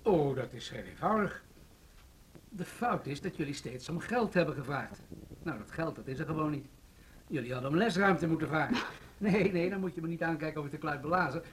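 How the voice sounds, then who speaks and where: An actor playing a jovial, evasive middle-aged government official in a radio play, bantering and slightly patronising. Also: male voice, fairly high pitch, lively intonation, fairly quick, lightly acted. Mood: breezy, explaining. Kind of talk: Dutch radio play acting. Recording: noisy old radio drama recording.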